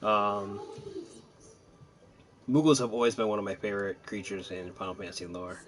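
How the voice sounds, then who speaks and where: A man's voice: lowish voice, normal speed. A man giving casual let's-play commentary in a laid-back, hesitant, rambling way. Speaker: gaming commentator.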